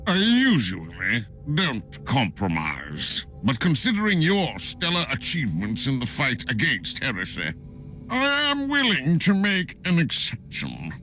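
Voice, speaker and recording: An actor playing a stern, haughty and zealous inquisitor in a video game, speaking with pompous force. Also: male voice, mid-range voice, huge pitch swings, a little slow, sharp tone, soft background music, full character voice. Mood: grudging. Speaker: game character. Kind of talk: dramatic video game cutscene acting.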